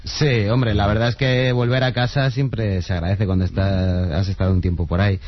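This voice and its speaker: A man from Spain, a musician, answering radio interview questions in a halting, thoughtful way. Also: lowish voice, level tone, dry recording. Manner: halting, many restarts, thoughtful. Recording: dry, muffled radio sound.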